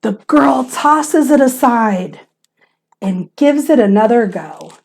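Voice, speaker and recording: Lady teacher doing a kids book read aloud, high voice, big swoopy theatrical delivery. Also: slow, vocal fry. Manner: theatrical, expressive, emphatic on key words. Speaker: teacher reading aloud to children.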